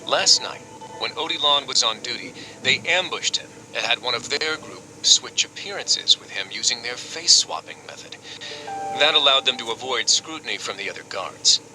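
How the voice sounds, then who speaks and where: An actor playing a composed, analytical duke who runs a prison, explaining things in a measured, deliberate way in a video game. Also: male voice, medium pitch, expressive, background noise, close to an everyday voice. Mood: composed, deductive. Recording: game capture with background music.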